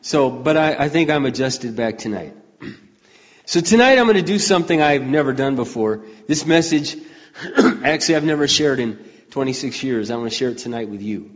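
An older man preaching a folksy, conversational sermon as pastor, often clearing his throat and restarting his sentences. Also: mid-range voice. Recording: dull, muffled sound with long hall reverb.

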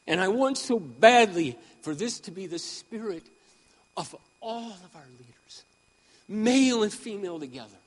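A man around sixty preaching a sermon with impassioned emphasis, swinging between loud peaks and quiet asides. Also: high-pitched, lively intonation, normal speed, long reverb tail. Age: 60s. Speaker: pastor.

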